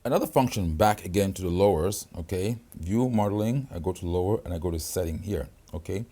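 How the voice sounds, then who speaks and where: A man's voice: deep voice, a little fast, dark tone. A man giving a hands-on keyboard tutorial, explaining each feature step by step and checking in with the viewer often. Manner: explanatory, checks in with "okay?" and "right?". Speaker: product demonstrator.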